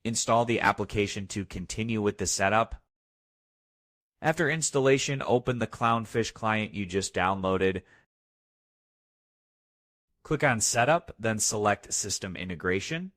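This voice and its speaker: A man narrating a scripted step-by-step software tutorial as its host. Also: fairly low pitch, expressive, measured pace, long pauses, no room sound, clean studio sound.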